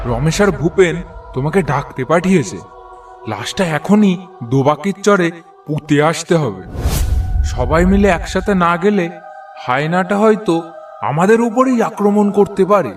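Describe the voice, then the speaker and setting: A man plays a deferential cartoon villager with a high-pitched voice. He sounds urgent and pleading, a bearer of grim news, a little off the mic with music clearly underneath. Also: lively intonation, moderate pace, pauses often, recorded from a distance. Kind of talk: cartoon voice acting.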